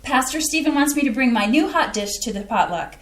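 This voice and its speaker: An actress in a community staged reading playing a chatty, excitable Midwestern homemaker wife with a folksy Wisconsin accent. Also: female voice, high voice, brisk. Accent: Upper Midwest (Wisconsin). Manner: bubbly, folksy, excitable exclamations. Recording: echoey stage room.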